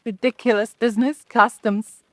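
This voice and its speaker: Actress as high-voiced, genteel, fretful matron. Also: female voice, steady tone, normal speed, fairly bright tone, no room sound, natural voice. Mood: indignant, polite. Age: middle-aged. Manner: genteel, mildly complaining. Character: genteel, fretful wife and mother at card table. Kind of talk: old-time radio drama acting.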